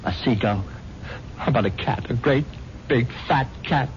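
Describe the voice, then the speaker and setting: An actor playing an anxious widower desperately questioning a doctor in a 1970s radio drama. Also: male voice, mid-range voice, moderate pace, natural voice. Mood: anxious, insistent. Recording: muffled, noisy old radio broadcast recording.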